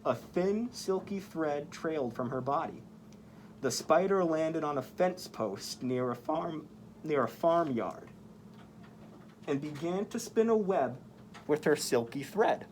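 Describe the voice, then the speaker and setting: A storyteller reading a picture book aloud to children in a slow, animated way. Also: mid-range voice, a little hiss or hum. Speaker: library story-time reader dressed as Spider-Man.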